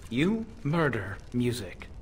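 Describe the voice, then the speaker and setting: A renegade elf mage for a fantasy game cutscene, over background ambience. He is sardonic and bitter, with unease showing. His pitch moves around a lot from a middling base. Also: very animated, slow pace, slight background noise. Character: bitter, sarcastic apostate elf mage, male. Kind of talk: video game cutscene acting.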